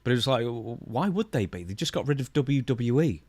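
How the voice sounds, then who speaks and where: A man's voice: medium pitch, animated, fairly quick, dry recording. A man co-hosting a podcast in casual chat, with dry, teasing banter as he runs through the show. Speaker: podcast co-host.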